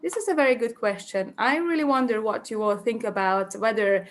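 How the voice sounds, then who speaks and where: A woman's voice: a little high, fairly quick. Hesitant Hungarian woman presenting a talk. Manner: hesitant, many fillers and restarts while thinking aloud. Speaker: conference presenter. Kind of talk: academic conference presentation.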